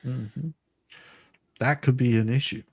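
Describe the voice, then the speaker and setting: Male livestream host, mid-pitched voice, casual commentary, rambling and repetitive, close dry recording. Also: fairly even intonation, slow, darkish tone, husky, no room sound. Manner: rambling, repeats phrases, trails off mid-sentence.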